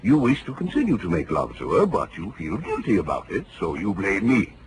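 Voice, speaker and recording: Fussy, swooping, creaky old psychoanalyst. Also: male voice, sits low, huge pitch swings, brisk, full character voice. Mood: lecturing, probing. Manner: fussy, lecturing, sputtering. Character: excitable, analytical older male psychoanalyst doctor. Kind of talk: 1940s radio acting. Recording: noisy old radio recording.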